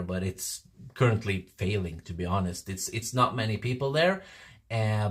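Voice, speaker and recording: A man's voice: mid-range voice, fairly bright tone. A Norwegian man in his forties with a Norwegian accent, chatting casually to his livestream viewers, rambling with frequent restarts and laughter. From Norway. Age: 40s. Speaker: livestream host.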